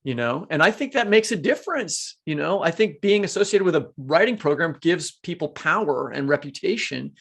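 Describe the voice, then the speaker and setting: A man with a creaky voice, asking and musing casually as a podcast co-host. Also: on the high side, lively intonation, moderate pace, few pauses, fairly bright tone. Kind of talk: casual conversational interview.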